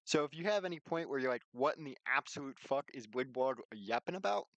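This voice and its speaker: A man's voice: mid-range voice, lively intonation, brisk, fairly bright tone, no room sound, highs cut off. A man livestreaming in a casual, rambling, slangy way, chatting and explaining things informally on a close microphone. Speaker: live streamer and game developer.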